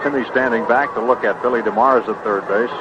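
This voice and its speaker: Male play-by-play man, American, conversational and unhurried, mid-pitched voice, dull noisy vintage sound. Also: fairly even intonation. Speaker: baseball play-by-play announcer. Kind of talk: live sports commentary. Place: stadium broadcast booth. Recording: muffled, noisy vintage baseball broadcast.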